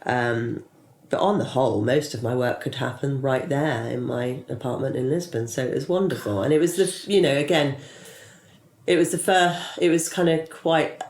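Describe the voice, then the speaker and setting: A woman's voice: very deep voice, moderate pace, a little mellow. A woman in her forties, a PR consultant and interview guest, chatting casually and rambling with many restarts. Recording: echoey room.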